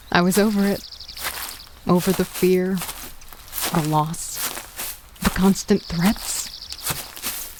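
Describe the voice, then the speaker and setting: A woman's voice: mid-range voice, background noise. Weary woman narrating a survival drama. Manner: steady, weary, understated. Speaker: narrator and lead. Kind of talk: first-person audio drama narration. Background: music.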